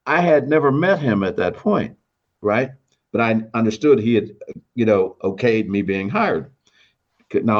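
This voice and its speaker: An older American man casually telling an anecdote from his radio career, acting out the dialogue in an animated manner. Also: fairly low pitch, highs cut off. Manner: animated, acts out quoted dialogue. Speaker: veteran radio announcer telling career memories.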